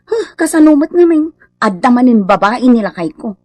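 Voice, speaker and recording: Complaining village mother, Ilocano accent, high-pitched, dry sound, whiny, comedy radio drama, music bed. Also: sing-song, normal speed, darkish tone, dry recording. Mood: whining.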